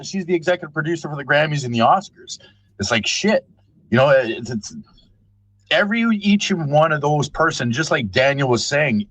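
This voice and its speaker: A man's voice: medium pitch, a bit bright, no echo, poor audio. A man in his thirties speaking earnestly on a video call, tagging his points with "right?" and "you know". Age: 30s. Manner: earnest, repetitive, tags "right?". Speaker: podcast guest. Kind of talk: casual panel discussion.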